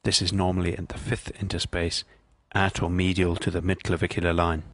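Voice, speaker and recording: Deep male narrator doing measured step by step medical teaching, bit husky, dry sound. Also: little pitch movement, no room sound. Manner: measured, precise, impersonal. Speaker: instructional narrator. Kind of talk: scripted medical instruction narration.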